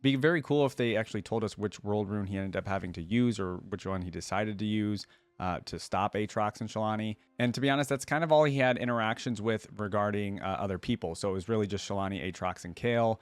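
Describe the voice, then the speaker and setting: A man's voice: lowish voice, fast, smooth, dry recording. A man giving casual, chatty, slangy reaction commentary as a video host.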